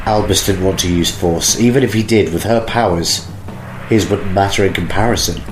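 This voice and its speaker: A man narrating an audio drama in a steady reading voice. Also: low voice, moderate pace, reverberant, background noise. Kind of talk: read-aloud story narration. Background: music.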